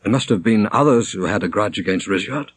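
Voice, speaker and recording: A man reading a literary story aloud as an audiobook narrator, in a theatrical, expressive manner. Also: on the low side, a little fast, husky. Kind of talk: dramatic literary narration with character voices.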